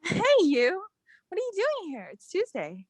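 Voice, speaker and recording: A woman's voice: medium pitch, expressive, normal speed, dry recording, natural voice. A woman acting a blunt, teasing young emergency medical technician in a screenplay table read.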